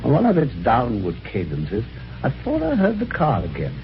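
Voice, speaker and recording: An actor playing a genial, chatty British husband with wry gentlemanly phrasing, in vintage radio drama on an old recording. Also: male voice, medium pitch, wildly swooping pitch, moderate pace, highs cut off, slight background noise. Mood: wry storytelling. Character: genial, chatty, helpful husband telling his adventure. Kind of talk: 1950s radio drama acting and first-person narration.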